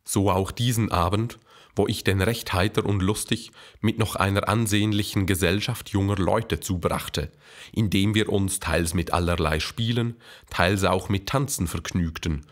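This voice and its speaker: Schauspieler als heiterer Diener mit tiefer Stimme. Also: male voice, sits low, fairly even intonation, moderate pace, close to an everyday voice. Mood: contented. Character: cheerful, observant 18th-century manservant and secretary. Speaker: voice actor in a radio play. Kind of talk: radio play reading of a travel diary.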